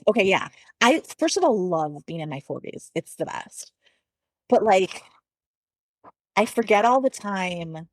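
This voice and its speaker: A woman's voice: mid-range voice, lively intonation, unhurried, pauses often, no echo. A woman in her forties chatting informally and in a self-deprecating way as a podcast guest. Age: 40s. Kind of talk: casual conversational interview.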